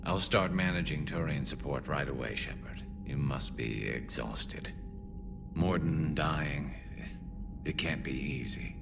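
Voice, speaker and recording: Very deep, gravelly alien soldier. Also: male voice, slow pace, music in the background, exaggerated voice. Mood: concerned, wry. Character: loyal, dry-witted alien soldier, concerned comrade. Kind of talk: video game cutscene acting.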